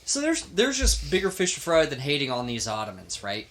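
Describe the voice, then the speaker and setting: A man's voice: on the high side, huge pitch swings, fairly quick, a bit bright. A man hosting a podcast, telling history in an informal, emphatic way with casual banter.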